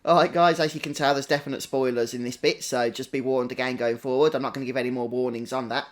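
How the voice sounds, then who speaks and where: A man's voice: mid-range voice, steady tone, quick pace. A man with a British accent giving casual live gameplay commentary, chatting with viewers as he hosts a stream. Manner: chatty, greets viewers individually. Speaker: game livestream host.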